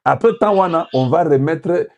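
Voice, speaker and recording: Man, from Congo, political commentary, rhetorical questions to viewers, dark tone. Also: fairly high pitch, normal speed. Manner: rhetorical, asks the audience questions, mixes in Lingala.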